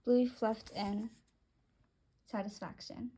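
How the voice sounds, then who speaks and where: A woman's voice: a little high, steady tone, slow pace, mellow, slightly rough. A woman narrating a scripted first-person story, reading it aloud.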